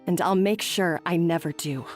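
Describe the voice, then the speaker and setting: A woman's voice: on the low side, normal speed, faint music behind, close to an everyday voice. An actress voicing an insecure young female head chef who doubts her own talent, speaking hesitantly in a game cutscene. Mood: doubtful.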